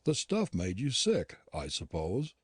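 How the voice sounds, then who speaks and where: A curt, disdainful gentleman dentist, scornful and impatient, as a male narrator reads him. The voice sits low and husky. It rises and falls a great deal. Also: huge pitch swings, measured pace, no echo, clean studio sound, exaggerated voice.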